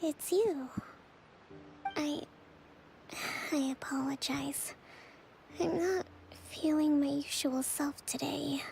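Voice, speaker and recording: A woman voicing a gentle young priestess and leader in a game, exhausted and speaking softly, almost in a whisper. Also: high voice, slow, long pauses, noisy background, full character voice. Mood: weary. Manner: soft, breathy, near-whispered and weary. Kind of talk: game voice acting.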